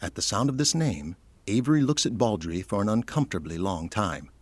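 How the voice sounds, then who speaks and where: A man's voice: fairly low pitch, animated, a little fast, slightly rough, no echo. A man delivering scripted narration for an audio drama, setting each scene.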